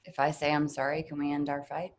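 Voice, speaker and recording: Woman acting tired middle-aged mom, fragile, pleading, slightly low, stage reading. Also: flat delivery, fast, a little hiss or hum. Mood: weary. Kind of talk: live play reading.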